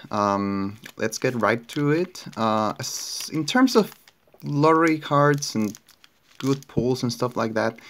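A man's voice: fairly low pitch, fairly bright tone. A young man from Chile with a Chilean Spanish accent, casually narrating a card pack opening with many fillers and restarts. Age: young adult. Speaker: card collector opening packs. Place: indoors at a table.

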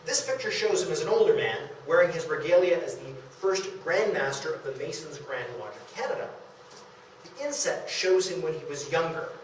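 A man giving an illustrated history lecture as the speaker at a live talk. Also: a little high. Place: meeting room in a historic house, before an audience. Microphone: distant camera in the audience. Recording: very echoey room, far off, faint noise.

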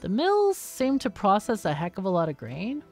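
A woman's voice: mid-range voice, huge pitch swings, normal speed, darkish tone, faint music behind. A woman hosting a gaming video, casually thinking aloud and explaining as she plays. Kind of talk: casual gameplay commentary.

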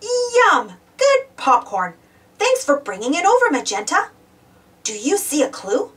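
A woman's voice: high-pitched, huge pitch swings, measured pace, fairly bright tone, recorded from a distance. A woman reading a children's storybook aloud, exclaiming and putting questions to young listeners. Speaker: storybook reader. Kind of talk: animated children's read-aloud.

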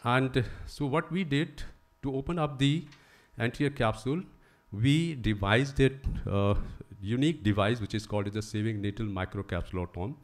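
A middle-aged man giving a technical talk at a conference, explaining at a podium microphone and pausing often with "uh". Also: mid-range voice. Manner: explanatory, reading from slides with frequent "uh" pauses. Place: conference hall, standing at a podium.

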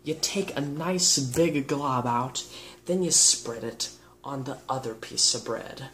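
Slow-paced teenage boy hosting a cooking vlog. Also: mid-range voice, fairly bright tone. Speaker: host of a homemade cooking show. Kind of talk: casual vlog presenting, demonstrating steps. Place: home kitchen, indoors. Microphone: camera on the counter, about a metre away. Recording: clearly noisy homemade camera audio.

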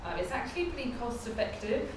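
A young woman talks casually about her renovation work, thinking out loud as she goes. Her voice rings around a large empty brick barn and is picked up from a distance. A faint hiss sits underneath. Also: on the high side, lively intonation, fast. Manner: chatty, thinking aloud while working. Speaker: DIY renovation vlogger. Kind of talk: casual vlog narration. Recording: echoey barn, mic set far off, faint background hiss.

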